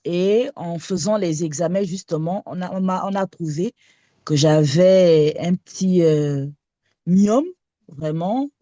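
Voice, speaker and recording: A woman in her late thirties speaks over an online call in a dark, mellow voice. She talks hesitantly and halts often with fillers. The sound is dry and close. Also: on the low side, slow, no echo, dull audio. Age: 30s. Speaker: caller asking a question. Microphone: online video call.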